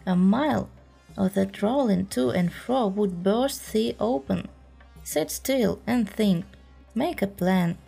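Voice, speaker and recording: Woman reader as a scolding male panther, dark-toned and deliberate. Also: medium pitch, gravelly, husky, exaggerated voice. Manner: scolding, impatient.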